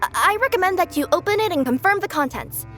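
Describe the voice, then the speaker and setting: A woman's voice: extremely high pitch, lively intonation, fast, fairly bright tone, faint music behind. A woman voicing a cheerful young female cat-spirit courier who speaks politely and stammers when nervous. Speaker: delivery courier. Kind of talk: video game voice acting.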